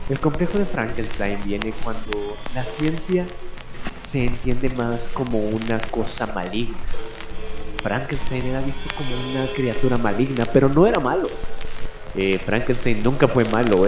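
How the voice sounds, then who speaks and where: A man hosting a late-night radio show, delivering a reflective, explanatory monologue with deliberate pauses in an announcer persona. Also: mid-range voice. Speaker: host of a late-night mystery radio program. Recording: roomy echo with music behind. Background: music.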